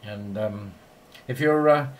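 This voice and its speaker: A man's voice: fairly low pitch, slow. A man chatting casually and in a rambling way as a radio operator filming in his home shack. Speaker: amateur radio operator and video maker.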